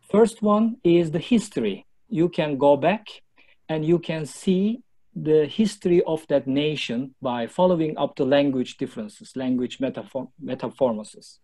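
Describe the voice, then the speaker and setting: A man's voice: a little high, warm tone, breathy edge. Turkish-accented man giving a speech. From Turkey. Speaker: club speaker. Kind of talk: prepared public speech.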